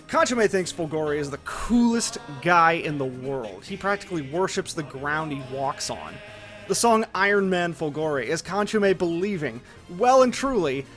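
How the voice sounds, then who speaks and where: Higher-voiced man narrating over music. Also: fairly bright tone. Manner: casual, conversational, with colloquial asides. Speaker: video essay host. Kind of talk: scripted video essay narration on anime. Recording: music behind the voice.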